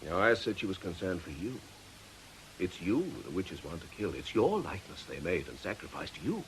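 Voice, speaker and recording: A concerned, reasonable priest in a radio drama. His voice is on the low side and very varied in pitch, reassuring and tactful yet ready to challenge, with a slight hiss on the recording. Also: very animated, normal speed, poor audio. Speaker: actor. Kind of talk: British radio drama acting.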